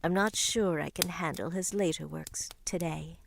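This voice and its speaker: A woman playing a dry-witted, grieving older witch in a visual novel, speaking in a formal, measured, wry way. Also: lowish voice, gravelly, a little hiss or hum. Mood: bitter grief. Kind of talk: scripted visual novel drama.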